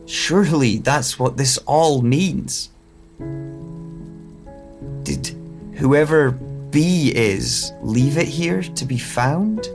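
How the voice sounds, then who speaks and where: A man's voice: a little high, very slow, vocal fry, long pauses, over music, full character voice. An actor playing a troubled man with lost memory, musing alone in a hesitant, reflective audio drama monologue. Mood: searching, unsettled. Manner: hesitant, reflective, broken phrasing.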